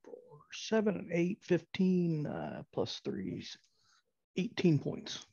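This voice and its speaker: Gravelly, mellow, slow-talking man. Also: on the high side, sing-song, dry recording, dull audio. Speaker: player in a tabletop role-playing game. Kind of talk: casual game-table talk.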